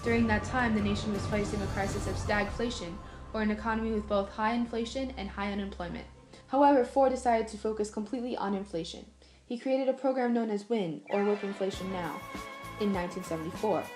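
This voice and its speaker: Woman narrating in an echoey room. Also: medium pitch. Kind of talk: scripted narration.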